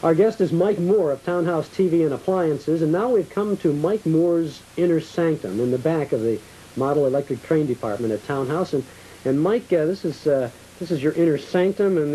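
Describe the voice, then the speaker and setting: A man's voice: on the high side, moderate pace, mellow, low-quality recording. A man hosting a local television program, speaking conversationally to guests and viewers on an old cable TV tape. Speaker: host. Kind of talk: conversational local TV interview and ad reading.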